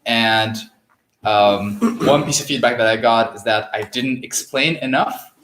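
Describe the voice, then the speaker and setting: A man's voice: mid-range voice, unhurried, fairly bright tone, a little hiss or hum. A young man from Syria, a product designer, explaining his thoughts steadily in a casual panel. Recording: echoey room.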